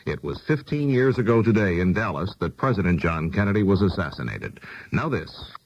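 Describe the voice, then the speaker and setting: A man's voice: sits low, sing-song, brisk. An American man working as a news anchor, reading a scripted radio newscast. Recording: dull 1970s AM radio broadcast.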